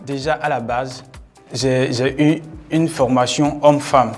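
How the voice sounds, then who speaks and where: A man's voice: medium pitch, fairly even intonation, a little slow, faint music behind. A man in his twenties, a fashion contestant, speaking in a matter-of-fact way. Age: 20s. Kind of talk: casual interview.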